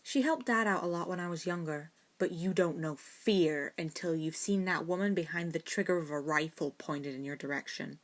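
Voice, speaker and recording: A woman's voice: fairly low pitch, moderate pace, few pauses, lightly acted. A woman voicing a stubborn, sarcastic schoolboy wizard with dry retorts in an audiobook-style story. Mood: defensive, casual.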